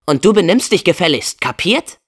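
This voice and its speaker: A man's voice: sits high, very animated, moderate pace, fairly bright tone, breathy edge, exaggerated voice. A young man voicing a plucky, kind-hearted teenage boy hero in a video game dub, earnest and spirited, with casual youthful phrasing.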